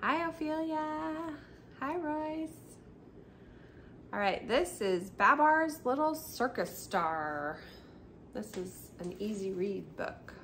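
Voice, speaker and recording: A middle-aged woman hosting a storytime, reading a children's picture book aloud with expressive, simple storyteller phrasing for young children. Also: high-pitched, slow pace. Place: small indoor room by a brick wall, seated. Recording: clearly noisy home recording.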